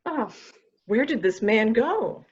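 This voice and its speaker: A woman's voice: a little high, sing-song, slow, a little mellow, slightly rough, lo-fi sound, exaggerated voice. A woman acting a composed, skeptical member of a mansion household in a murder mystery reading. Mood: alarmed.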